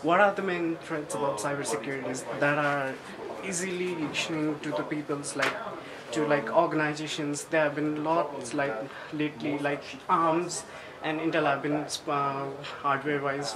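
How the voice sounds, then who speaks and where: A man working as an interviewer, asking informal questions haltingly as he searches for words. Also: a little high, flat delivery, rough recording. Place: busy indoor conference hall. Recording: loud crowd chatter.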